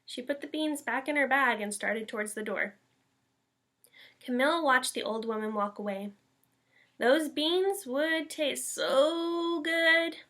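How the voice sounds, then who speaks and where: A woman reading a children's story aloud in an expressive, emphatic school read-aloud style. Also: high voice, slow. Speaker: elementary school staff member reading aloud.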